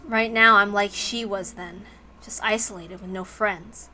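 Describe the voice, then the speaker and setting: Visual novel loner, played by a woman: young woman, sombre, brooding, earnest, bright tone. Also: medium pitch, steady tone, unhurried, faint music behind. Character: lonely, sombre young woman challenging a girl.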